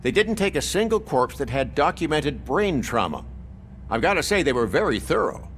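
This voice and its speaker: A man's voice: on the high side, very animated, normal speed, a bit bright. A talkative morgue worker in a video game. His mid-range voice moves expressively through dry, morbid chat. There is a faint noise behind him. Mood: droll, intrigued. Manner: droll, dryly morbid chattiness. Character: wry, talkative male hospital morgue worker.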